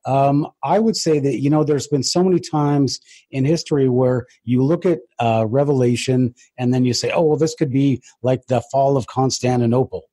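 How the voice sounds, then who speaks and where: Male interviewer. Husky, dark-toned voice, medium pitch, hesitant phrasing with frequent pauses as he builds to questions. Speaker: interviewer, host.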